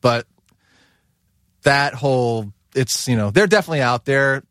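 A man's voice: medium pitch, moderate pace, fairly bright tone, no echo, clean studio sound. A man who works as a chef, talking in a casual chat, rambling and full of fillers like "like" and "you know".

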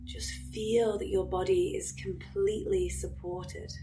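Slow, soothing woman guiding meditation. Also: medium pitch, little pitch movement, over music, poor audio. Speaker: yoga nidra meditation guide. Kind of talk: guided relaxation meditation.

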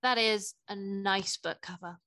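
A woman's voice: mid-range voice, fairly even intonation, unhurried, bright, forceful tone, dry recording, studio quality. A woman co-hosting a podcast, chatting casually about books with emphatic enthusiasm. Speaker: podcast co-host. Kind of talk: casual book chat.

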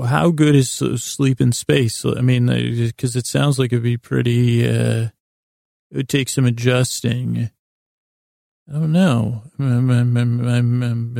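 A man with a gravelly, creaky voice hosts a bedtime podcast. He meanders hesitantly and deliberately, keeping his pitch fairly even. The recording is close and dry, and he is gently self-deprecating. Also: dry recording. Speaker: host of a sleep podcast. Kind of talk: rambling bedtime monologue.

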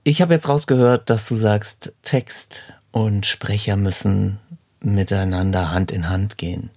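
Creaky, husky male interviewer. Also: lowish voice, steady tone, measured pace, a little mellow, no echo, studio quality. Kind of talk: casual interview conversation.

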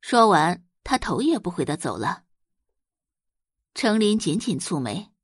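A woman narrates a drama from a script. Her delivery is highly animated, with a husky, breathy edge and a close, dry recording. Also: unhurried, dry recording. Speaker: audiobook narrator.

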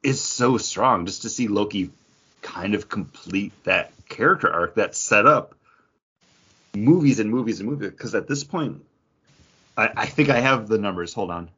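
A man's voice: lowish voice, expressive, normal speed, bright, forceful tone, husky. A man co-hosting a pop culture podcast, chatting in a jokey, conversational way. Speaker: podcast co-host. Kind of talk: casual pop culture chat. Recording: dull, lo-fi podcast audio.

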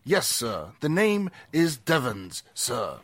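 Slow, formal, deferential butler played by a man. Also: mid-range voice, huge pitch swings, fairly bright tone, heavily acted. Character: deferential, grave male butler, formally polite. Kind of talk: 1940s radio drama acting.

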